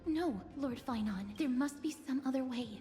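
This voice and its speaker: Actress as soft-mannered, courteous young game heroine, slightly high, with long reverb. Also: female voice, moderate pace, natural voice. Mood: earnest pleading. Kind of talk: video game voice acting. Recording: long reverb, music behind. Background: game music.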